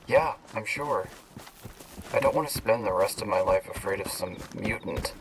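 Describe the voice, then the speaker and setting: A man's voice: on the low side, expressive, moderate pace. A man voicing an eager young male would-be stalker in a video game, talking in a determined way. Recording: distant voice, clear ambient noise.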